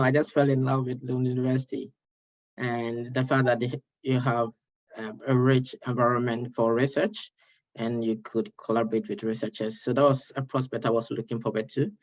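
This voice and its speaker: A young man from Ghana, a master's student panelist, talking with enthusiasm and repeating himself. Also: mid-range voice. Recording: dull lo-fi mic.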